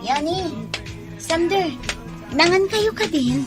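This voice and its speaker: An actress playing an unhappy, resentful young bride in a radio drama, with an Ilocano accent. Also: female voice, very high voice, expressive, takes it slowly, over music, heavily acted. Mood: curt, upset.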